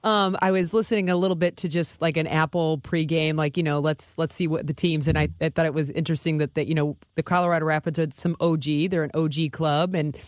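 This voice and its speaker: A woman's voice: low-pitched, steady tone, fast talker, hardly any pauses, no echo. A woman chats casually as co-host of a sports radio show. She talks informally and rambles a bit from thought to thought, in a dry, close-sounding recording.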